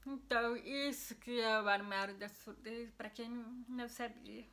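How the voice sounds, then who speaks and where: Young deaf Brazilian woman vlogger, higher, flat-toned delivery, earnest and explanatory. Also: normal speed. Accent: Brazilian Portuguese. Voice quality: deaf speech, heard by others as a foreign-sounding accent. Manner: earnest, explanatory, with frequent restarts. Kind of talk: casual personal explanation to camera.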